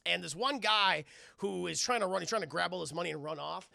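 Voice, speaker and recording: A man hosting a live stream, giving a lively, casual, swear-laden recap of a show to his chat. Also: high-pitched, fairly quick, gravelly, no room sound, clean studio sound. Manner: animated, profane, acts out dialogue casually.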